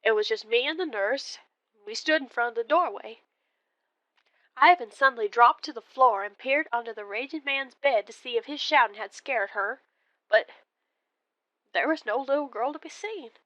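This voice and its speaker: A woman narrating a first-person ghost story in a deliberate, expressive, dramatic way. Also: a little high, no echo, lo-fi sound. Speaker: storyteller calling in to a horror radio show.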